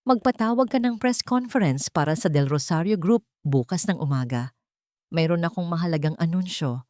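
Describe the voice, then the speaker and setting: A woman voicing a grieving young male billionaire heir in an audio drama, speaking in a hushed, breaking voice. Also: on the low side, animated, moderate pace, no echo, clean studio sound, natural voice. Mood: steady.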